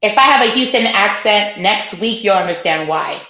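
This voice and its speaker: A woman's voice: mid-range voice, lively intonation, moderate pace, noisy background. A woman co-hosting a casual sports podcast, chatting and plugging events with loose asides. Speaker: podcast co-host. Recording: roomy echoey sound.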